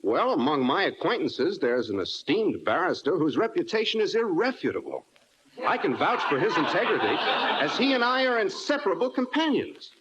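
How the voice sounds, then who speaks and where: Smug, animated shady radio sidekick. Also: male voice, high voice, normal speed, muffled recording. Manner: mock-pompous wordiness mixed with slang. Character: shady, smug sidekick pal with mock-pompous airs. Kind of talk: 1940s-50s radio comedy acting. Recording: noisy old-time radio recording.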